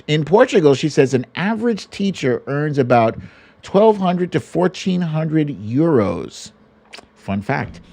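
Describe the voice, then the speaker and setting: A man hosting a casual livestream, talking at an unhurried pace with big swings in pitch. His delivery is playful and sing-song, and the sound is close and dry. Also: sing-song, creaky, darkish tone, dry recording. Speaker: livestream host. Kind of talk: casual livestream chat, reading out viewer greetings.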